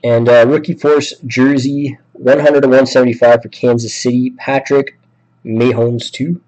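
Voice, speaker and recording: A man hosting a sports card break, a bit sick with a raspy voice, listing names and teams and chatting casually. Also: mid-range voice, little pitch movement, normal speed, darkish tone, poor audio. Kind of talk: live card break commentary.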